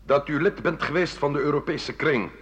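Stern, higher-voiced male official played by an actor. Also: normal speed, fairly bright tone, a little hiss or hum, lightly acted. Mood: stern, accusing. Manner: stern, formal, accusatory. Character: formal official reading out charges of treason. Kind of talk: radio drama, formal read-out indictment. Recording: muffled old radio broadcast.

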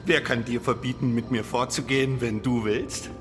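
A man's voice: mid-range voice, steady tone, moderate pace, lightly acted. An actor playing an infatuated, reckless man in a radio play, impassioned and pleading, with music behind him. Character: infatuated, reckless man begging a masked woman. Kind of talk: German radio play acting.

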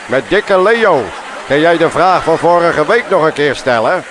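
A man's voice: fairly high pitch, expressive, a little slow, noisy background. A man playing a plodding, long-winded older grandfather in a radio comedy, hesitant and full of problems. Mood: chummy. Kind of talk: broadcast radio comedy acting.

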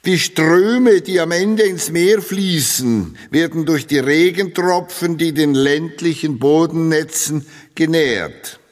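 A man's voice: on the high side, takes it slowly, hardly any pauses. A man giving a formal, solemn reading of satirical literary texts. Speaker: reader. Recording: reverberant hall with music.

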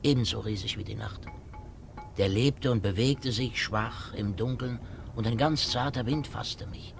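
An actor playing a dreamy, rapturous small clerk aboard a ship in a 1950s radio drama, musing lyrically in awe. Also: male voice, mid-range voice, a little slow, creaky, over music. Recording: very noisy old radio recording, ambience.

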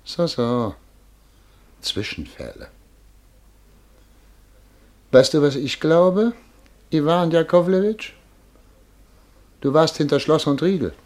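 An actor playing a vain, imperious army major in a German radio play, barking suspicious commands and questions. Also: male voice, on the high side, lively intonation, slow pace, long pauses, darkish tone. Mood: probing, indignant.

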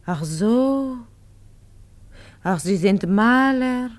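Cool, high-voiced foreign lady spy. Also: expressive, extremely slow. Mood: amused, mocking. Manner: foreign-sounding inverted word order. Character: cool, self-possessed female spy, gently mocking. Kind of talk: 1950s German radio play acting.

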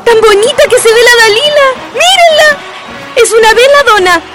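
A woman's voice: very high voice, animated, normal speed. A woman playing a perky, bossy, encouraging young Chilean girl in a radio drama. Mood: excited. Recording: music under the voice.

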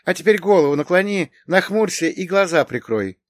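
Imperious middle-aged aunt of noble birth played by a male narrator: raised pitch, haughty, commanding, dry audiobook sound. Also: expressive, normal speed, fairly bright tone, no room sound. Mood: bossy, appraising. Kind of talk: acted audiobook dialogue.

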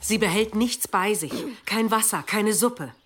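A woman's voice: mid-range voice, unhurried, natural voice. An actress playing an anxious, earthy village woman nursing the sick in a radio play. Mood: worried. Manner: worried, earthy. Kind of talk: German radio play acting.

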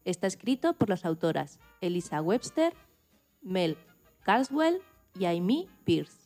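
A woman's voice: medium pitch, dark tone. A woman presenting on the radio, reading a prepared script aloud.

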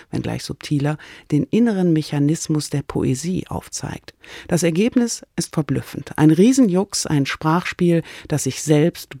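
A male radio presenter reads a scripted introduction. His slightly high voice has a lot of fry in it and is recorded dry and close. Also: mellow, no room sound.

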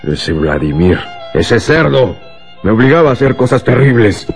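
An actor playing an agitated, self-justifying husband in a radio drama, insistent and theatrical, coaxing and bitter by turns. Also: male voice, medium pitch, very animated, moderate pace, soft background music.

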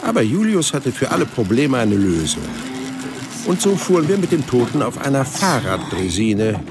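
An actor playing an unflappable hundred-year-old man in a German radio play, recalling things in a creaky, unhurried, dryly polite way. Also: mid-range voice, sing-song, background noise. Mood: calm recounting. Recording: music under the voice.

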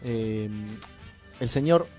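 A male radio host chats casually at a middle pitch. His delivery is halting and repetitive, and faint music plays behind him. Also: mellow. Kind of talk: casual chat in a comedy radio show.

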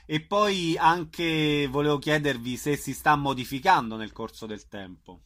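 A man's voice: fairly high pitch, a little slow, fairly bright tone. A man hosting an informal radio interview and putting questions to his guests.

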